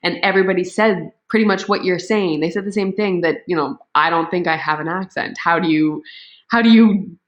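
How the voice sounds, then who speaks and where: A woman's voice: lowish voice, fairly quick, highs cut off. A woman guest speaking thoughtfully in a casual interview. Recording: roomy echo.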